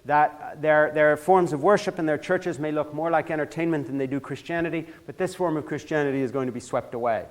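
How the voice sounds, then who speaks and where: A man's voice: fairly high pitch, fairly quick, hardly any pauses. Older man, impassioned and rising. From Switzerland. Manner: grows heated and emphatic, with frequent restarts. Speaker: speaker giving a church talk. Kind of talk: impassioned lecture. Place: church.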